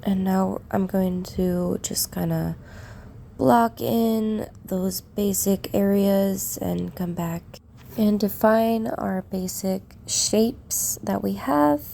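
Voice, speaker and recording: A woman with a croaky voice from a cold, narrating a drawing tutorial in an unhurried way while she shades. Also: medium pitch, warm tone, a little hiss or hum. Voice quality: gravelly. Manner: unhurried, talks while shading. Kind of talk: relaxed drawing tutorial voice-over.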